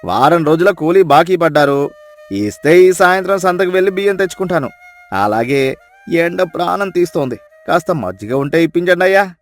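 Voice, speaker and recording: A man voicing a weary, aggrieved farm labourer in a moral story, pleading in a loud, strained way. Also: on the high side, animated, normal speed, faint music behind, natural voice.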